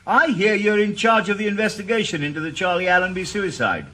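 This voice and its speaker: A man's voice: high voice, brisk, pushed and bright, slight background noise. An actor playing a wry, stubborn retired male detective in a radio drama. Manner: dry, wisecracking. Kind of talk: 1980s radio drama acting.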